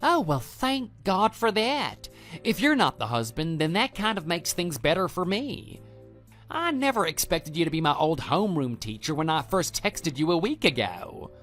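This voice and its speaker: A man's voice: fairly high pitch, big pitch swings, moderate pace, fairly bright tone, voice pushed up. A man voicing a brash, evasive young former student in a scripted audio drama, with a flippant, defensive manner. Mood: relieved, flippant. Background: background music.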